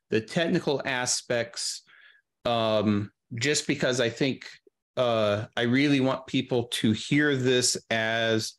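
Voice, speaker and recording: A middle-aged man chatting casually as an interview guest on a video call, thinking aloud in a halting way. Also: medium pitch. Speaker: guest, audio drama creator. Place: small room on a video call.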